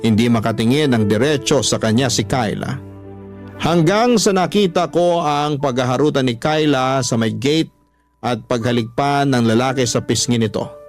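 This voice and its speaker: A man's voice: mid-range voice, moderate pace. A man reading listeners' letters as a dramatic first-person story, as a radio host. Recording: music under the voice.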